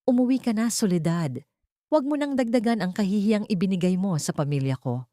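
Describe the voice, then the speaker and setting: A woman's voice: mid-range voice, expressive, fairly quick, mellow, no echo, clean studio sound, voice pushed up, lightly acted. A woman voicing a cold, contemptuous husband who speaks harshly and dismissively in an audio drama story. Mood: cold contempt. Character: cold, contemptuous husband rejecting his wife.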